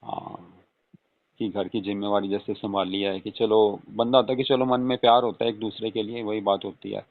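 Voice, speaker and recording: A man's voice: on the low side, steady tone, moderate pace, no echo, close to an everyday voice. A man playing a flirtatious, coaxing father-in-law in a casual, intimate phone-call role-play, murmuring and adding questioning hums. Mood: persuasive. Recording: tinny phone-line call recording.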